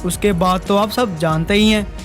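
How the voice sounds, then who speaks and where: A man voicing a devoted, earnest young husband in an animated melodrama. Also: sits high, normal speed. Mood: earnest, worried. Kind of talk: animated story voice acting. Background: background music.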